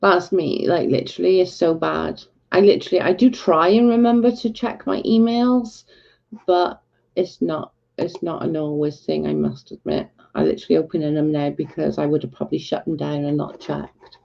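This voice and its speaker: A woman's voice: low voice, normal speed, vocal fry, a little mellow, lo-fi sound. A Welsh woman hosting a casual livestream, talking bluntly and with exasperation to her chat. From Wales. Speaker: livestream host.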